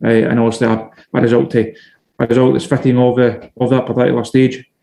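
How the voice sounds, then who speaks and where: A Scottish man, a journalist guest, answering in a measured, steady way with frequent restarts. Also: mid-range voice, vocal fry. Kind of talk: casual podcast interview.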